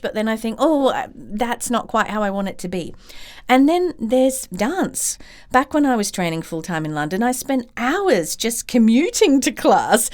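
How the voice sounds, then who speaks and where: A woman hosting her own podcast as a creativity coach, talking straight to listeners in an encouraging way, a little croaky from a chest cold. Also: medium pitch. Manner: warm, encouraging, direct to listeners. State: slightly croaky from bronchitis. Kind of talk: solo podcast talk.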